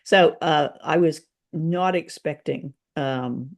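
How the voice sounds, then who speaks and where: A man's voice: fairly high pitch, slow, creaky. A middle-aged man co-hosting a podcast, casually discussing and explaining things in a chatty way. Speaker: podcast co-host. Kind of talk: casual discussion of a radio drama.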